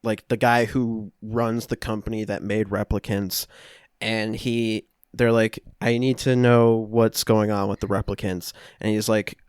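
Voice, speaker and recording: Clear-voiced man, casual dry recording. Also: on the low side, little pitch movement, no echo. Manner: halting, loosely conversational storytelling. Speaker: podcast co-host. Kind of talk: casual film recap among friends.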